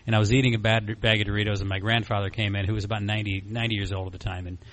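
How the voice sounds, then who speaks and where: A man's voice: on the low side, flat delivery, very fast, fairly bright tone, low-quality recording. A man in his mid-forties giving informal personal testimony in a candid, self-deprecating, rambling storytelling way. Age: mid-40s. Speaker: recovery meeting speaker sharing a personal story.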